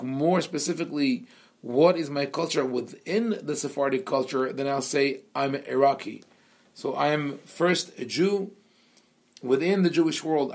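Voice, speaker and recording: Brief: man from Israel, rabbi teaching a seminar, mid-pitched, stop-start delivery full of fillers. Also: darkish tone. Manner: hesitant, many fillers and restarts. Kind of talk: lecture.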